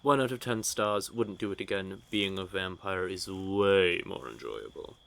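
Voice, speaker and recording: Man playing a low-voiced, smug, flirtatious vampire. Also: unhurried, vocal fry, fairly bright tone, exaggerated voice. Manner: teasing, smug, drawling menace. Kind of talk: audio roleplay, speaking intimately to one listener. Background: outdoor night forest ambience effects.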